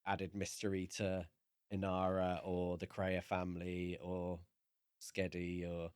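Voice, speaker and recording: A man co-hosting a podcast, discussing a book casually in halting, thoughtful phrases. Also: low-pitched, completely flat delivery, darkish tone, smooth, dry recording, studio quality. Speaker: podcast co-host.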